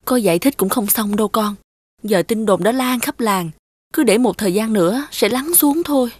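A woman voicing a meek, weary widowed mother in an animated folktale, humble and resigned as she speaks. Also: a little high, normal speed, no room sound, studio quality.

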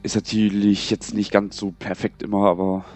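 A man's voice: on the low side, level tone, normal speed, a little mellow, soft background music. A young man with a cold, casually rambling and muttering to himself as he plays a game for his channel. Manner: chatty, rambling, muttering to himself while playing. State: has a cold. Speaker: gaming channel host. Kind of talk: casual let's play commentary.

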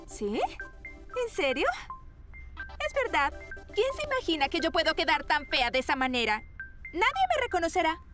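A woman's voice: sits high, sing-song, normal speed, long pauses, over music. A woman playing a vain, whiny young model diva in a cartoon dub, melodramatic. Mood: vain, relieved. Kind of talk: animated children's cartoon dub.

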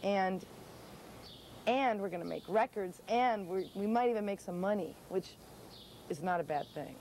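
A woman's voice: medium pitch, normal speed, darkish tone, slight background noise. A young woman musician in a documentary interview outdoors, talking bluntly and swearing freely. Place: outdoors beside a pale wall.

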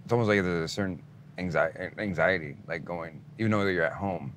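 A man, a paramedic colleague, chatting casually in a candid, halting way. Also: low voice, fairly bright tone, a little hiss or hum. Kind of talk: casual chat.

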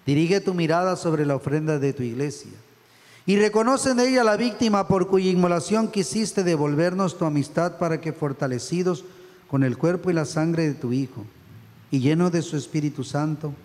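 Middle-aged priest praying in a reverberant church. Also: male voice, a little high, a little mellow. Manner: reverent, measured phrasing. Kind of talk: solemn liturgical prayer recitation. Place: church altar, indoors. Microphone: stand microphone at the altar.

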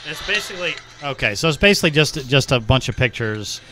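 A man's voice: medium pitch, big pitch swings, quick pace, music in the background. A man in casual, joking podcast banter, speaking into a studio microphone. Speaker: podcast co-host. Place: small indoor podcast studio. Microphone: studio microphone with headphones.

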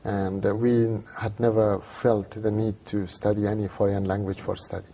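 Afghan man, fifties, lowish gravelly voice, halting studio interview English. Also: flat delivery, warm tone, slight background noise. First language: Dari. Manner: halting, many fillers and restarts. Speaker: civil society director, interview guest. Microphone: lapel.

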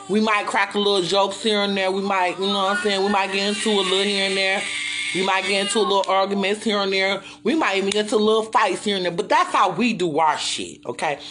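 A woman's voice: medium pitch, fairly bright tone. A middle-aged American woman on a casual livestream, ranting bluntly and emphatically with lots of swearing, repeating her points. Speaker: livestream host. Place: living room, indoors. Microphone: phone at arm's length. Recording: noisy phone livestream.

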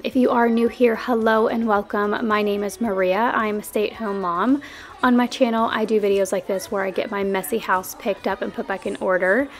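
A young woman, a stay-at-home mom, talking in a relaxed, friendly way with plenty of "um"s as she narrates cleaning her home. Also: fairly high pitch. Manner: friendly, relaxed, chatty with frequent "um". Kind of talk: chatty cleaning vlog voice-over. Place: home, kitchen and living room.

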